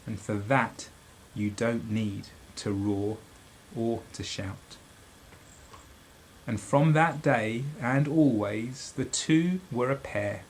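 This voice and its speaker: A middle-aged man reading a children's story aloud, dramatically and with deliberate pauses. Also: medium pitch, slight background noise, low-quality recording. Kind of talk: expressive children's storybook narration.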